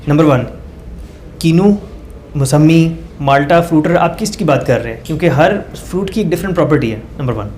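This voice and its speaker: Man explaining with a higher voice. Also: animated, moderate pace, a little mellow, a little hiss or hum. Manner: teacherly, walks through numbered points with everyday examples; hesitant fillers, mixes English phrases. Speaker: doctor, research guest. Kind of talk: explaining research step by step.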